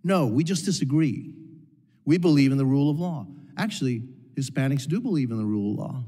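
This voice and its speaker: A man's voice: medium pitch, expressive, a little fast, dark tone, breathy edge, soft background music. A man from Cuba, a congressman pressing a witness with repeated pointed questions at a hearing. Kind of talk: heated committee hearing questioning. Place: large hearing room. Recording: long reverb of a hearing room.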